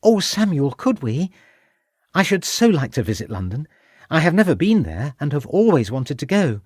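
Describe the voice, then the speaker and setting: A man voicing an affectionate, eager young Victorian wife who pleads and gushes in a lifted, lively voice. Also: fairly high pitch, moderate pace, breathy edge, no echo, studio quality. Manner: gushing, pleading.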